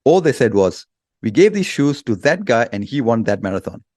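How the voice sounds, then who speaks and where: A man's voice: medium pitch, very animated, moderate pace, a little mellow, no echo, clean studio sound. A man speaking as an expert guest in an interview, explaining with examples in a blunt, emphatic way.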